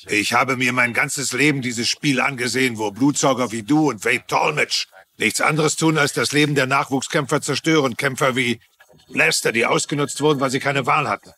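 An actor playing an indignant, blunt and principled doctor in a German TV drama dub. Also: male voice, mid-range voice, moderate pace, sharp tone. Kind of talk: German TV dubbing.

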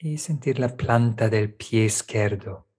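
Slow, mellow man guiding meditation. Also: medium pitch, breathy edge. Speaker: meditation teacher. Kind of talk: guided meditation, calm step-by-step instruction.

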